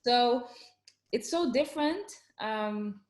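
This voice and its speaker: A woman from the Netherlands with a Dutch accent, hosting a podcast in a chatty, animated way with many fillers and frequent laughs. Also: on the high side, slow. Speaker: podcast host. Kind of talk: casual conversational chat. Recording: echoey room.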